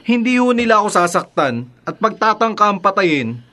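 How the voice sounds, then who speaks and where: A man's voice: high-pitched, sing-song, moderate pace, bright, forceful tone, full character voice. An actor playing a bruised young man who respectfully urges a police sergeant to help him. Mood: urgent.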